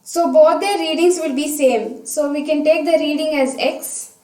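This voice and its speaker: Female teacher, high soft-toned voice, methodical step-by-step delivery, recorded at a distance from the mic. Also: steady tone, measured pace. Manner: methodical, talking through each step aloud. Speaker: science teacher. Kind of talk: step-by-step worked problem explanation. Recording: roomy echo, distant microphone.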